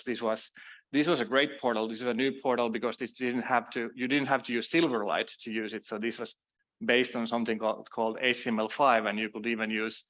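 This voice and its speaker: Finnish man, middle-aged, conference speaker, mid-pitched, halting with fillers and restarts. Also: a bit bright. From Finland. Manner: many fillers and restarts, self-deprecating asides. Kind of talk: conference talk.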